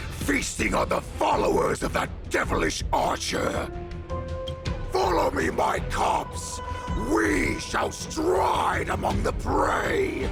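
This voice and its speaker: A savage wolf warlord from a video game, very gravelly and slow. He declaims with grandiose menace, swinging wildly between low growls and high roars over music. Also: heavily acted. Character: savage wolf warlord rallying his pack to bloodshed. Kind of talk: dramatic video game villain acting.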